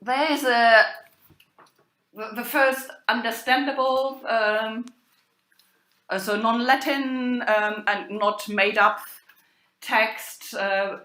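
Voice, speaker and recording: A woman's voice: on the high side, bright, forceful tone. Woman prof lecturing, takes her time w lots of hesitation, echoey room. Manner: halting delivery with long hesitations. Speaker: lecturer, university professor. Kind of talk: spoken lecture on old drama texts.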